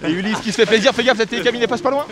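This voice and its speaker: A man's voice: very high-pitched, racing, noisy background. A man joking and exclaiming as he skis outdoors, filmed on a helmet-mounted action camera. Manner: joking, exclaiming, swearing playfully. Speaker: freeride skier filming with friends. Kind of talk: excited casual commentary while skiing. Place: outdoors on snowy ski slopes.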